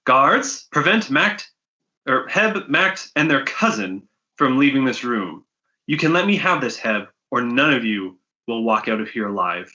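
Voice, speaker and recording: A man's voice: medium pitch, big pitch swings, measured pace, pushed and bright, dull audio. Scheming, theatrical crook acted by a man. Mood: menacing.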